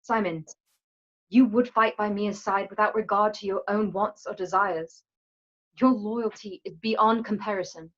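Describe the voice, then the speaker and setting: An actress as a solemn, loving and resolute sister in a Western radio drama. Her phrasing is formal and measured, mid-pitched, on a dull home microphone. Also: fairly even intonation, close to an everyday voice. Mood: earnest, tender. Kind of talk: online radio play acting.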